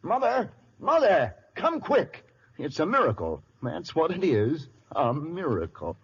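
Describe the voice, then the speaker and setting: A man's voice: fairly high pitch, wildly swooping pitch, measured pace, breathy edge, heavily acted. An actor playing an exasperated, grumbling father in a theatrical 1930s radio advertising sketch. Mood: mock amazement. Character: grumbling, exasperated father fretting over bills. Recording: dull old radio broadcast.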